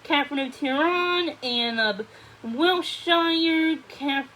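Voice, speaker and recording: A woman's voice: high-pitched, takes it slowly, sharp tone, pitched above the performer's own voice, heavily acted, low-quality recording. Woman playing a flustered, stammering royal chamberlain.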